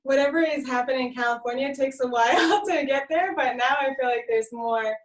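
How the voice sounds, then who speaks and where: A woman's voice: high voice, fairly quick. A woman from Romania who grew up in South Florida, chatting as an interview guest over a video call, giggly between phrases. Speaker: interview guest, sound healer. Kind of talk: casual conversational interview. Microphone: video call microphone, slightly distant. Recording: slightly distant video-call mic.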